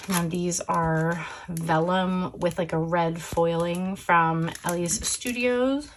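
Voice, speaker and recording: Woman crafter, a bit hoarse, chatty and rambling, slightly low voice, clear background noise on a home recording. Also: fairly even intonation, takes it slowly. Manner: chatty, rambling, lots of fillers and self-corrections. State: hoarse, recovering from illness. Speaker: scrapbooking crafter showing supplies. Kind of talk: casual show-and-tell chat.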